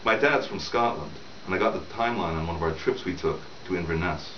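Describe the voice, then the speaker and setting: A man's voice: deep voice, a little fast. A middle-aged man reading his novel aloud in a conversational storytelling way, as the author, in a room at home. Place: small room at home, indoors. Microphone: camera several feet away. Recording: roomy, distant camera mic, dull with hiss.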